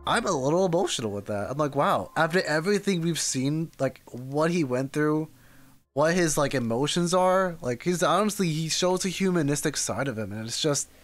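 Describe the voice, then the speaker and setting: A man casually rambling on a livestream as he reacts to a game, with soft music behind him. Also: fairly high pitch, moderate pace. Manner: rambling, animated, reads chat aloud.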